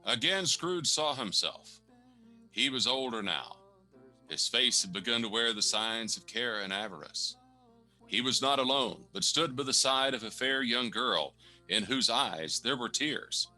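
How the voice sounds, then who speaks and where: A man's voice: medium pitch, animated, measured pace, bright, forceful tone, soft background music, low-quality recording. A man narrating a radio play with dramatic, scripted storytelling.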